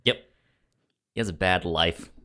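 A man co-hosting a podcast, discussing films casually in a halting, informal way. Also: sits low, bright, forceful tone. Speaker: podcast co-host.

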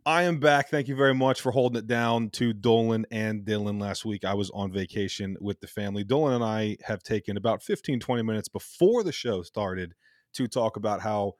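A man in his thirties runs a casual sports podcast with an upbeat, joking manner and an occasional swear. His voice sits low, and it is recorded close and dry with no room sound. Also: a little fast, dry recording. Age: 30s. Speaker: podcast co-host.

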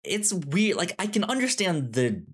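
A man co-hosting a podcast in casual chat, speaking haltingly and repeating words as he goes. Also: sits high, very animated, breathy edge. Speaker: podcast co-host reviewing comics.

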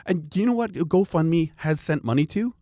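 A man talking in a rambling, animated way in casual chat, laughing often. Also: on the high side, normal speed, dry recording, clean studio sound.

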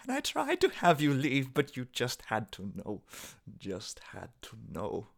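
An unhinged husband, wildly swooping voice. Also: male voice, medium pitch, wildly swooping pitch, unhurried, creaky, exaggerated voice. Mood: distraught. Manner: theatrical, swinging between mock politeness and frantic pleading. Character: desperate, unhinged husband hiding his monstrous cat wife. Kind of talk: horror radio drama acting.

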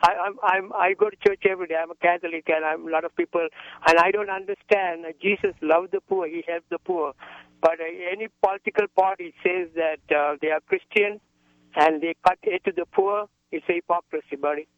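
A man's voice: sits high, steady tone, brisk, no echo. A man originally from Sri Lanka calling a radio show, emphatic and repetitive, with stumbling starts. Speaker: caller. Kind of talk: call-in radio conversation. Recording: tinny phone line.

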